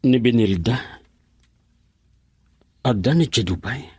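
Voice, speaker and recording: A man's voice: mid-range voice, expressive, takes it slowly, dark tone, breathy edge, no echo, full character voice. A man acting in an Ilocano radio drama as a heartbroken, defensive drinker who broods over his lost love. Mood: hurt, defensive.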